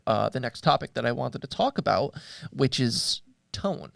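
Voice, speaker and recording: A man's voice: mid-range voice, big pitch swings, normal speed, gravelly, no echo, clean studio sound. A man co-hosting a show in casual discussion, speaking hesitantly and thoughtfully as he searches for words. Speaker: co-host of a media show.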